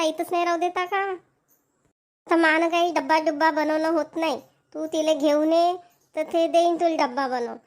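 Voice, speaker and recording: A woman's voice: high-pitched, natural voice. A woman with a Vidarbha Marathi accent voicing a grumbling, self-pitying older mother-in-law in an amateur cartoon. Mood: scolding. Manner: nagging, complaining.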